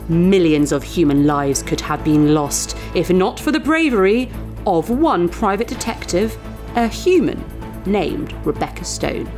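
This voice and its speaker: An earnest female news anchor acted by a woman delivers a formal bulletin in a sci-fi audio drama. The voice is on the low side, solemn and emphatic with grand flourishes, and music plays clearly underneath.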